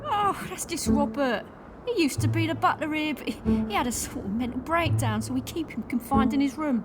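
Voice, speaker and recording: A woman playing a ruthless, vain, sharp-tongued schemer in an audio play. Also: high voice, expressive, very noisy. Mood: evasive, dismissive. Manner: brisk, sharp-tongued, dismissive.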